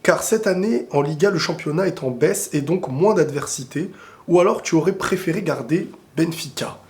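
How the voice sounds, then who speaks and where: A man with a cold and a sore throat, talking casually and excitably about football to his viewers, often rambling into quick asides. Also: a little high. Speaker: football video creator answering viewer questions. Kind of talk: casual opinionated football talk to camera.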